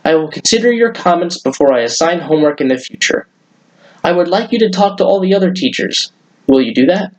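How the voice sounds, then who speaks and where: Storybook schoolteacher, female, reasonable and thoughtful male reader, somewhat high for a man. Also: lively intonation, fairly quick, lightly acted, low-quality recording. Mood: considerate.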